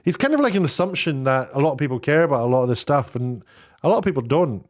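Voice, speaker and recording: A man's voice: medium pitch, animated, fast. A British man giving casual, opinionated commentary in a rambling, chatty way with sarcastic asides. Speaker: online video commentator. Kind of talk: casual opinionated commentary, reading out tweets and figures.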